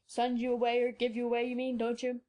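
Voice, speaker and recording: An excitable old doctor with a lowered, changed tone and emphatic, eager bursts, as a woman reader puts him on. He pushes his certainty loudly and scorns doubt. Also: fast talker, natural voice. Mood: excited.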